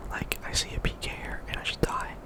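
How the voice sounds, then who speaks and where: Young male ASMR gaming host. Fully whispered, breathy delivery, casual and rambling, with repeated phrases. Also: fast talker.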